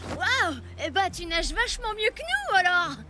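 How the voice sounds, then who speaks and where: A woman voicing an earnest, excitable young prehistoric boy in a French cartoon dub. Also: very high voice, normal speed. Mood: relieved. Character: kind, clumsy young prehistoric boy with a pet dinosaur. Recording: music bed under the voice.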